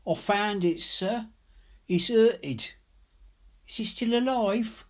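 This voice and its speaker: A man's voice: high voice, takes it slowly, pitched above the performer's own voice. A man voicing a timid, anxious twelve-year-old country girl who speaks hesitantly and politely in a storybook reading. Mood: anxious, questioning. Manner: hesitant, questioning.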